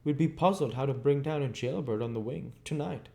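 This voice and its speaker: A man acts out a sullen village labourer from a storybook, his voice lifted well above the reader's low register. He talks in gruff, terse rustic phrases, with a surly temper. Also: a little fast, mellow, lightly acted. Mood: grimly knowing. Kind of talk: storybook character voice.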